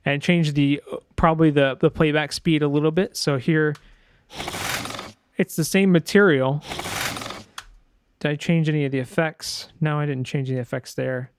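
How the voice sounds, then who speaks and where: Higher-voiced man, smooth and clear, casual tutorial. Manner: informal, thinking aloud, self-correcting. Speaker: sound designer presenting a tutorial. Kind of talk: casual screen-share software walkthrough.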